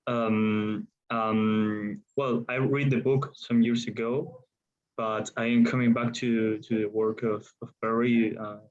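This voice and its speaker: A man from Argentina, a PhD student, gives a friendly, halting self-introduction on a video call. His voice stays level and flat in tone, and the audio sounds dull and lo-fi. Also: on the low side. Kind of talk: self-introduction in online seminar.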